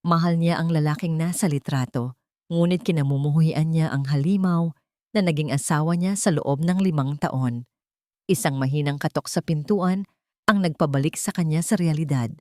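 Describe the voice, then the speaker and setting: Woman, lowish voice, dramatic storyteller, emotive and deliberate, close dry sound. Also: mellow, no room sound. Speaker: audio drama narrator.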